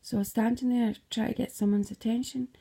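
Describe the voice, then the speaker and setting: A woman's voice: a little high, fairly even intonation, quick pace, creaky, warm tone, husky. A Scottish woman casually retelling a dream, rambling, slipping into whispered asides and swearing freely.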